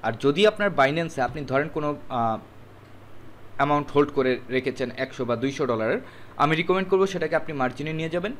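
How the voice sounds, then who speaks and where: Fast-talking man giving a step-by-step tutorial. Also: a little high, a little hiss or hum. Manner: instructive, mixes in English words. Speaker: tutorial presenter. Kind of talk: step-by-step screen tutorial narration.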